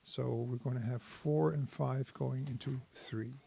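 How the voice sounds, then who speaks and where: A man's voice: mid-range voice, fairly even intonation, measured pace, vocal fry, warm tone, a little hiss or hum. A man giving a casual hands-on tutorial, talking as a presenter who thinks aloud while he works. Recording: synthesizer tones behind the voice. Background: synthesizer sounds.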